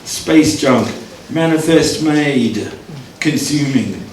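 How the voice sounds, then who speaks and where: A man's voice: mid-range voice, expressive, slow pace. Man, spoken-word poet, wry asides, echoey venue, distant mic, audience. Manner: wry asides, counts down his time aloud. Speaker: poet performing. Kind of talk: live spoken-word poetry reading.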